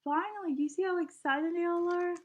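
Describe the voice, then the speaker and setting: A woman reading a children's picture book aloud in an animated teacherly way, guiding young listeners with questions and prompts. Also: very high voice, fairly quick, highs cut off.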